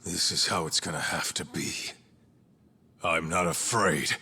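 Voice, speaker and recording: An actor playing a gruff, proud, stubborn yakuza tough guy, blunt and defiant, in an English game dub. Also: sits low, measured pace, sharp tone, exaggerated voice.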